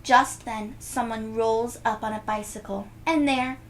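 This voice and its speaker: A woman's voice: fairly high pitch, a little hiss or hum. A woman reading a storybook expressively to children, drawing out words emphatically and chatting to the listeners. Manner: animated, emphatic, drawn-out words, gasps and sound effects. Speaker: children's read-aloud host.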